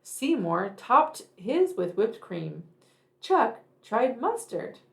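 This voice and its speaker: A woman narrates a picture book for young children. She takes her time over each phrase and moves expressively up and down in pitch. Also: sing-song, gravelly. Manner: deliberate, addressing young children. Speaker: children's read-aloud storyteller.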